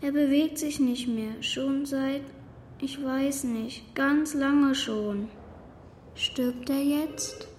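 Little boy, really high voice, hesitant, unsure of himself, plaintive, radio play. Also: fairly even intonation, drawn-out words, a little mellow, a little hiss or hum. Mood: frightened. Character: anxious, self-doubting little boy. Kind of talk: German audio drama acting.

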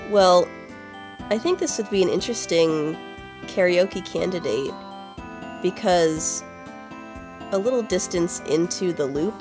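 Informal woman podcast host, bit low pitched, chatty, quiet music in the back. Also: lively intonation, a little slow, long pauses. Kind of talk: casual podcast chat about video game music.

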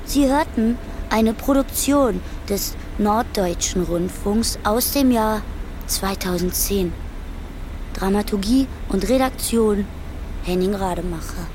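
Female announcer, clear background vehicle noise, unhurried, formal, matter-of-fact, scripted credits. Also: medium pitch. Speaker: radio drama credits announcer.

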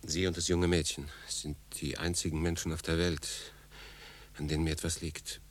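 An actor playing a composed, reflective, mature English consul in a radio drama, speaking in a measured, grave and deliberate way. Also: male voice, a bass voice, level tone. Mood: grave, resolute.

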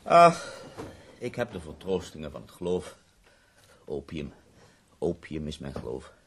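An actor playing a bedridden, dying poet in a radio drama, ironic, eloquent and given to complaint, with a gravelly, husky voice. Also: male voice, extremely low pitch, sing-song, a bit bright, slight background noise, full character voice. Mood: wry. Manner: eloquent, wry, drawn-out pauses.

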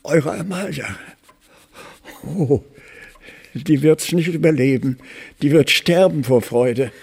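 A man's voice: on the high side, takes it slowly, gravelly, darkish tone, slightly rough, full character voice. A man acting a devoted old servant in a German radio play, humble and effusive, pleading and exclaiming, in a reverberant space. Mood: excited. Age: older. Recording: long reverberant hall.

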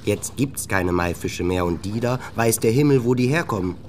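A man's voice: fairly low pitch, expressive, normal speed, a little mellow, pitched above the performer's own voice, close to an everyday voice. A narrator as a grumbling old man, noisy background. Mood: puzzled grumbling. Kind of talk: acted quotes within literary narration.

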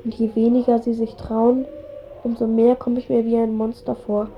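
A woman plays a ten-year-old boy in a radio drama, stubborn and easily wounded. The voice is fairly high, the delivery blunt and earnest. The recording is a little muffled, with faint noise. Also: flat delivery, moderate pace, natural voice. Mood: hurt, defiant.

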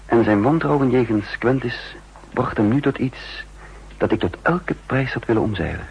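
An actor playing an intense, guilt-ridden double agent who narrates his own downfall in a 1960s Dutch radio drama, with a husky voice and deliberate dramatic delivery. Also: mid-range voice, dull audio, slight background noise, close to an everyday voice. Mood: grave, ashamed. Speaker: lead actor and first-person narrator.

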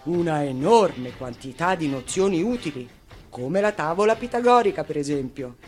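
A woman's voice: sits low, expressive, moderate pace, a little mellow, faint music behind. A woman voicing a stuffy grown-up policeman in a storybook, condescending, officious and lecturing. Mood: patronising. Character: stuffy, patronising adult policeman, insistent and exasperated.

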